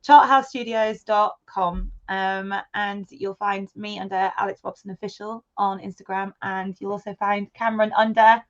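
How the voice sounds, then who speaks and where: A woman's voice: mid-range voice, steady tone, normal speed, hardly any pauses. Chatty enthusiastic british woman, guest on a remote call, kinda muffled audio. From United Kingdom. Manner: enthusiastic, chatty, with many fillers. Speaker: studio co-founder guest. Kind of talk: casual interview chat.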